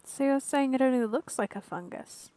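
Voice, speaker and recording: A woman's voice: sits high, normal speed, mellow, dry recording. A woman streamer giving casual, short reactions while playing a video game.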